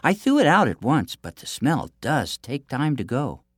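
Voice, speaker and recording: A man's voice: medium pitch, big pitch swings, normal speed, no echo, clean studio sound, pitched above the performer's own voice. A man voicing a young fairy-tale princess who is anxious, earnest and clever. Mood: coaxing.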